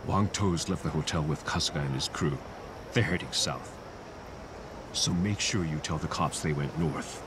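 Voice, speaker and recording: An actor playing a sly hotel bellhop who works as an informant in a video game. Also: male voice, rumbling low, slow pace, background noise. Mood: furtive. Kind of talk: dramatic video game cutscene acting.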